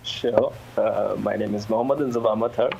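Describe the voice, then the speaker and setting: A man's voice: lowish voice, fairly even intonation, fairly quick, gravelly, a little mellow, muffled recording. A student in his twenties from India with an Indian accent, introducing himself on a webinar. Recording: faint noise on online call.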